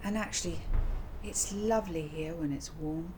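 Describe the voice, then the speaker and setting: A woman's voice: sits low, moderate pace, background noise, natural voice. A woman voicing a thoughtful young girl in a children's story, speaking in an earnest, fretting manner. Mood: content.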